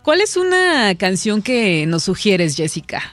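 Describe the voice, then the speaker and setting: A woman's voice: mid-range voice, very animated, a little slow, fairly bright tone, soft background music. A woman hosting a casual radio interview, chatty and full of tag questions. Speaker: radio host.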